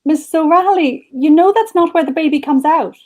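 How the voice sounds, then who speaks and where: A woman's voice: high voice, brisk, darkish tone, pitched above the performer's own voice, close to an everyday voice. Woman reading as a frank, earnest nurse. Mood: earnest, reassuring.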